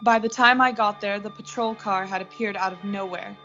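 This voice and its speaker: A woman's voice: mid-range voice, fairly even intonation, a little fast, a bit bright, natural voice. Student actress as a driven career woman, muffled radio drama. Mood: grim, reflective. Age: young adult. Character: ambitious, pushy, unsentimental woman art director narrating her own story. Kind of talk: radio suspense drama acting with first-person narration. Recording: muffled lo-fi recording with music.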